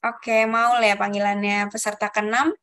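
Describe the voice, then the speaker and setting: A young woman leading an online group discussion as facilitator, politely reading out rules one by one with soft fillers over a video call. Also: mid-range voice, flat delivery, normal speed. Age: young adult.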